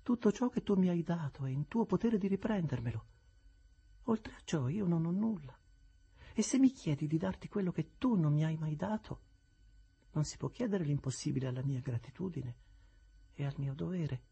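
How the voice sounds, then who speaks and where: A man voicing a cold, proud young woman who speaks quietly and evenly, often half-whispered, in an audiobook. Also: fairly high pitch, lively intonation, normal speed, vocal fry, husky, muffled recording, pitched above the performer's own voice, exaggerated voice. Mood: composed. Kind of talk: audiobook reading of a classic novel.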